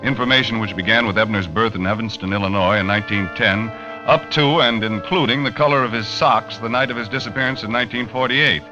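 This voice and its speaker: A man's voice: fairly low pitch, fast, natural voice. An actor playing a matter-of-fact American magazine writer, narrating his investigation in an old radio drama. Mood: businesslike, curious. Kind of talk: 1940s-50s radio drama first-person narration. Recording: muffled vintage radio recording with music.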